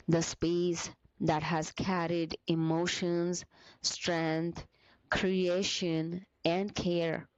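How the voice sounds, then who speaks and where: A woman leading a guided meditation as a teacher, with gentle, soothing, deliberate phrasing broken by short pauses. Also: fairly low pitch, dry recording, highs cut off.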